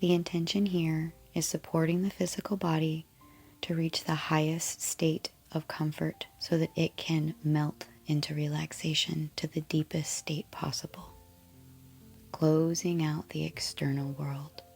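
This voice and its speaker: Female meditation guide, low and very even, soft breathy endings, soothing delivery over music. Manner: soothing, gentle, unhurried. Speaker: yoga nidra guide.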